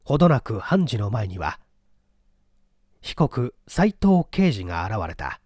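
Male audiobook narrator, literary mystery reading, deliberate dramatic delivery, animated intonation, dry close sound. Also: lowish voice, breathy edge, no room sound. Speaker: audiobook narrator, stage actor. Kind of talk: scripted dramatic story narration.

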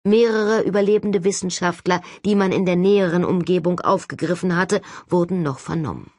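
A woman narrates a novel in the first person. Her voice sits low for a woman, and the sound is dry, with hardly any room in it. She reads as an audiobook narrator. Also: fairly quick, a little mellow, no echo.

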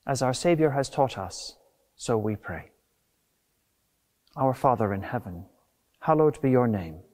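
A middle-aged man with a medium-pitched voice leads a prayer as deacon. His recitation is even and reverent, spoken into a lapel mic in church. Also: measured pace. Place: inside a stone church beside a lectern.

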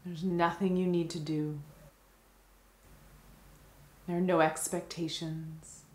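A woman leading a guided meditation in a gentle, soothing manner, leaving long unhurried pauses between phrases. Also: lowish voice, flat delivery, reverberant.

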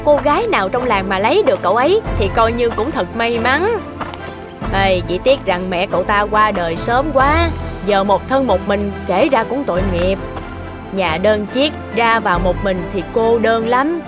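A woman's voice: a little high, lively intonation, normal speed, close to an everyday voice. A woman voicing a sympathetic, gossipy middle-aged village woman in a cartoon, chatty in manner. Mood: admiring, pitying. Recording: loud music under the voice.

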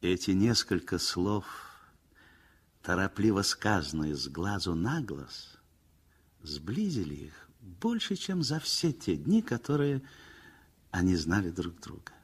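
A man narrating a 1960s radio play in an expressive, lyrical storytelling manner. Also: sits low, takes it slowly, slightly rough. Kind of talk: dramatic literary narration. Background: music.

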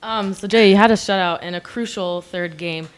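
Clear-voiced American woman asking questions. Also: medium pitch, moderate pace. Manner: polite, with um fillers. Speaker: interviewer. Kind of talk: press conference questions.

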